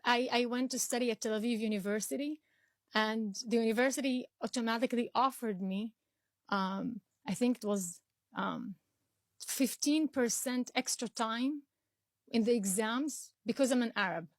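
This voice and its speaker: A woman's voice: fairly high pitch, moderate pace, no room sound, clean studio sound. A young woman from Israel whose first language is Arabic, answering interview questions earnestly with frequent restarts and fillers. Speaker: interview guest.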